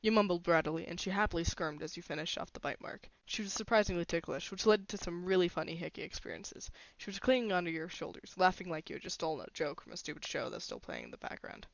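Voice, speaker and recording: Female story narrator, mid pitch with plenty of vocal fry, dry and slightly muffled lo-fi sound. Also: expressive, fast talker, few pauses, no room sound. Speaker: fan fiction reader.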